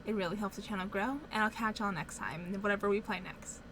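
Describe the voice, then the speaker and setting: A young woman hosting a let's play, chatting excitedly while she loses her voice a little. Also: mid-range voice, quick pace, noisy background. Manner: chatty and excitable, thinking aloud. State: slightly hoarse, losing her voice. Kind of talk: casual gaming commentary. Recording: voice over loud game music.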